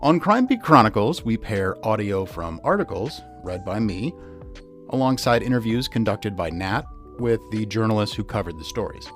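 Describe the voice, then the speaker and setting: Low-pitched man narrating over music. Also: very animated, normal speed. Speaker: podcast co-host and producer. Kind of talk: scripted narration, dramatic article reading.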